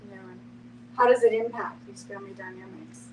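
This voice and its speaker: A woman's voice: mid-range voice, steady tone, brisk. A woman moderating a group discussion in a conversational, explanatory way. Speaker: moderator, nonprofit co-founder. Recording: distant mic with clear background noise.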